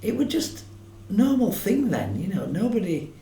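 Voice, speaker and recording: A woman's voice: mid-range voice, sing-song, normal speed, vocal fry, dark tone, soft background music. A woman in her seventies, interviewed, speaking plainly and matter-of-factly. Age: 70s. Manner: plain-spoken, matter-of-fact. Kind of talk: casual interview. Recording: echoey room with faint hum.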